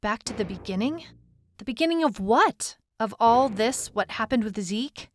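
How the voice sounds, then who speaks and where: A woman's voice: a little high, lively intonation, moderate pace, slight background noise, lightly acted. A woman playing a determined detective who narrates her own case in a scripted audio drama. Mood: brooding, reflective. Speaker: lead narrator.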